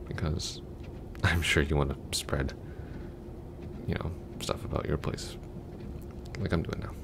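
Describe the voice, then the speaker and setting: A man's voice: noisy background, exaggerated voice. A young man playing a chatty, goofy, affectionate long-distance boyfriend in a roleplay audio, talking casually in a rambling, hesitant way. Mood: tender, affectionate. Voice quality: very deep, gravelly.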